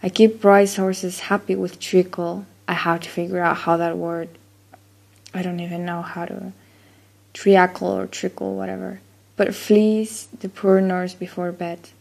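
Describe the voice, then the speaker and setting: A woman's voice: on the low side, flat delivery, unhurried, creaky, a little mellow. A woman from Chile, a native Spanish speaker with a Chilean accent, reads a word list casually and a bit unsure, trailing off with fillers. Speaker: accent-tag vlogger.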